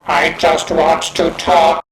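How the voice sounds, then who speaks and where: A man voicing a cowardly yet menacing Dalek war machine in an amateur sci-fi parody, gravelly and halting. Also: fairly high pitch, monotone, background noise. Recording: echoey room.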